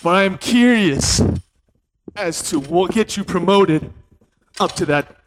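A man's voice: high-pitched, slow, a little hiss or hum, rough recording. A man in his twenties co-hosting a podcast, telling a story in a laid-back, joking way. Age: 20s. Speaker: podcast co-host. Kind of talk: casual chat.

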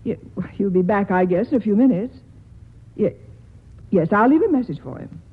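A muffled vintage radio recording carries an exasperated society lady. Her voice is husky and expressive, with the wounded pride of 1940s stage comedy. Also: medium pitch, moderate pace. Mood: flustered, humiliated. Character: proud, exasperated society woman, easily wounded. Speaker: actress.

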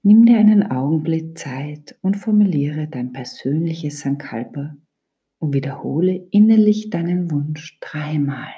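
A woman leading a guided yoga nidra meditation as an instructor, calm and soothing, with a soft, breathy, half-whispered delivery. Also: low-pitched, measured pace, dull audio.

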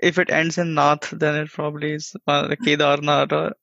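A man with a gravelly, fry-tinged voice adding dry, offhand comments to a conversation. He speaks in a flat, even line on a somewhat raised pitch. The sound is dry, close and dull, like lo-fi audio. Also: normal speed, no echo. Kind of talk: casual interview.

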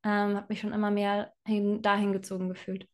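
A young woman who grew up bilingual, speaking as an interview guest in a relaxed, reflective way with frequent fillers and laughs. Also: mid-range voice, a little fast. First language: bilingual German and Spanish. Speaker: guest, self-employed in personal branding. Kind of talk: casual interview conversation.

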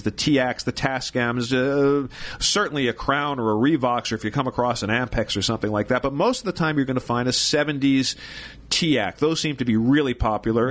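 A man talks as the resident expert in a relaxed conversation. His delivery is informal and colloquial, with an ordinary mid-range voice. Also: mid-range voice. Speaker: guest expert, tape machine repairer. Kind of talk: casual expert talk.

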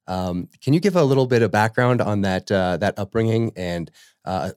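A man with a very low voice hosts a podcast and speaks in a dry, close-up sound. He reads his introduction earnestly. When he asks questions he stumbles and restarts, filling the gaps with fillers. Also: animated, moderate pace, darkish tone, smooth, no echo. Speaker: podcast host.

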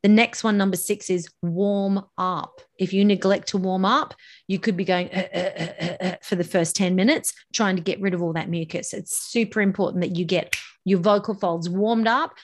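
A woman's voice: medium pitch, normal speed. A middle-aged woman, a voice coach, giving emphatic public speaking advice in a podcast interview. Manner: animated and emphatic, stretches words for effect. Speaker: voice coach, guest.

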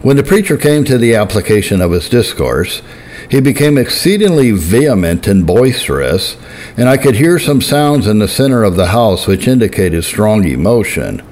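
A man giving a solemn, deliberate reading of historical religious writing, slowing down to stress key phrases. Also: lowish voice, sing-song, darkish tone. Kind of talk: measured scripted reading.

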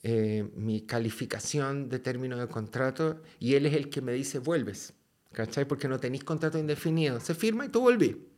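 A man with a Chilean accent tells a story as a podcast guest, lively and loose with slang and curses. His voice sits at an ordinary middle pitch. He talks casually. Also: normal speed. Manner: lively, slangy and swears freely.

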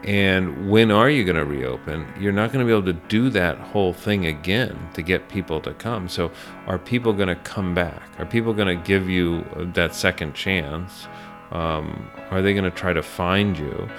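A man's voice: sits low, moderate pace, a bit bright, faint music behind. A middle-aged American man telling a story conversationally in an interview, earnest and reflective, circling back over phrases for emphasis. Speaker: small business owner being interviewed.

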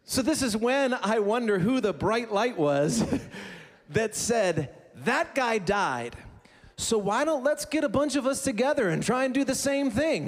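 A male pastor delivers a sermon in a relaxed, conversational way, slipping in casual asides and light humor. His voice sits a bit high for a man. The sound carries the long reverb of a church hall. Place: church sanctuary.

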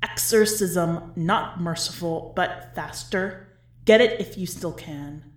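A woman's voice: lowish voice, slow, voice pushed down. Woman doing a doomed prisoner's inner voice, grim and desperate, short clipped warnings, dramatic story reading. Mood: grim urgency.

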